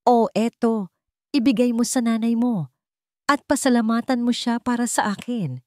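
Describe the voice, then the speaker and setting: A gentle, oblivious rich father in a story drama, read by a woman. He talks casually and kindly, in a dry recording. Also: fairly high pitch, moderate pace, dry recording, voice pushed up, lightly acted.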